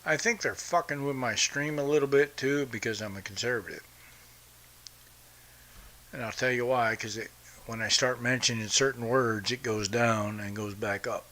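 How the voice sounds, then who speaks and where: A man's voice: mid-range voice, moderate pace, pushed and bright. A man hosting a podcast, from the United States, ranting bluntly and profanely about politics. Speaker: podcast host. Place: home in Brazil.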